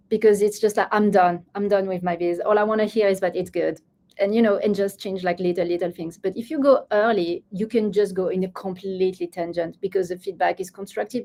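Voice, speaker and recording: A woman presenting at an online meetup, giving casual, emphatic advice in a halting, informal way. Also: medium pitch, vocal fry, mellow. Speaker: presenter at an online user group.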